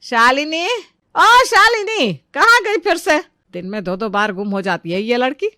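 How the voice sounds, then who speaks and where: A woman's voice: high voice, big pitch swings, unhurried, pushed and bright, dry recording, clean studio sound, full character voice. An actress playing an exasperated middle-aged mother-in-law, sarcastic and nagging, in a comic family story. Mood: annoyed, searching. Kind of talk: animated family comedy story acting.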